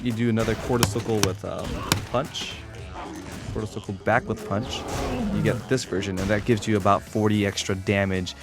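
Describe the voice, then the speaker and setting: A man with a fairly deep voice talks through a fighting game demonstration. His delivery is casual and explanatory, unscripted, with some hesitation as he goes. Music plays clearly behind him. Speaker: competitive gamer demonstrating a character.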